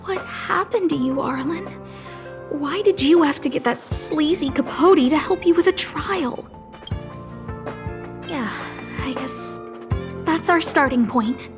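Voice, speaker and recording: A young female sleuth from a video game, thinking out loud with expressive delivery. She speaks slowly in a high voice. Music is clearly audible behind her. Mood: pensive, worried.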